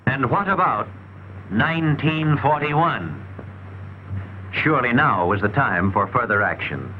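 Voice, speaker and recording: Male narrator for a 1940s sales training film: emphatic, lively intonation, dull lo-fi period sound. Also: mid-range voice, measured pace, slight background noise. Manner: emphatic, rhetorical, salesmanlike delivery.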